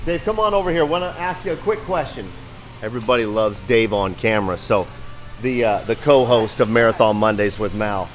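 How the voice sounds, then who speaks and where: A man's voice: lowish voice, animated, brisk, faint music behind. A man in his forties giving an enthusiastic, informal tour to camera as a show host. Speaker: company video show host. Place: large open factory hall, indoors. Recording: noisy factory floor ambience.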